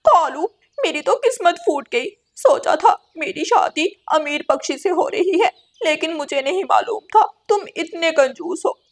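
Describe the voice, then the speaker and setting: A woman's voice: extremely high pitch, animated, measured pace. A woman voicing a long-suffering crow wife and mother in a moral-story cartoon, plaintive and given to sarcasm. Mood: aggrieved.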